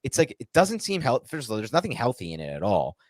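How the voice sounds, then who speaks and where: A man's voice: medium pitch, wildly swooping pitch, fast, no echo, clean studio sound. An American man co-hosting a sports talk show, chatting casually in a rambling, opinionated and emphatic way. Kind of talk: casual live Q&A chat about American football.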